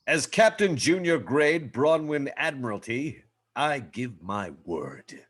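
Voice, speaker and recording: A man's voice: mid-range voice, animated, slow, fairly bright tone. A man voicing a pompous, indignant alien navy captain with haughty, florid diction in a comedy table read. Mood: haughty persuasion.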